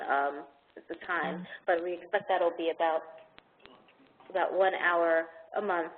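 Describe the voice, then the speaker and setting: A woman program manager answering questions on a conference call, explaining at length with some hesitations. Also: on the low side. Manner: explanatory, hesitant with fillers and restarts. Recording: tinny phone line with faint hiss.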